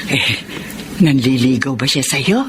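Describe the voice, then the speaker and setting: A woman acting as a caring, nosy middle-aged aunt in a Filipino radio drama, expressive and coaxing, with a Filipino accent. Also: rumbling low, takes it slowly, a little hiss or hum, heavily acted. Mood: teasing, coaxing. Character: caring, nosy older aunt urging on her widowed niece.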